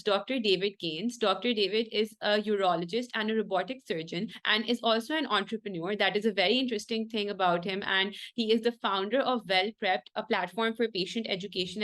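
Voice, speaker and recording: A woman's voice: mid-range voice, a bit bright. A woman hosting a medical interview show, asking questions in a polite, formal manner. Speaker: podcast host.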